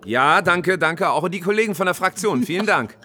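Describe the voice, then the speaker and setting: Local politician in a radio play, bright tense voice, fairly high, polite but touchy. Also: male voice, lively intonation, moderate pace, a little hiss or hum. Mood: courteous, flustered. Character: male local politician, polite on phone, irritable at home. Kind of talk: naturalistic radio drama dialogue.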